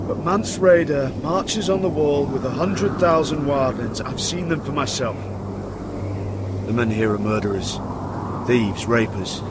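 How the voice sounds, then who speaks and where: An actor playing a seasoned, brotherly ranger in a dramatic video game, speaking frankly like a mentor. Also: male voice, mid-range voice, very noisy, close to an everyday voice. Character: seasoned, brotherly ranger of a frozen northern watch.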